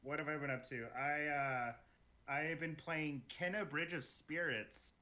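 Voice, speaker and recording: A man's voice: on the high side, steady tone, measured pace, sharp tone. A man talking casually as a podcast guest, telling informal anecdotes with some swearing. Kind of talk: casual chat about video games.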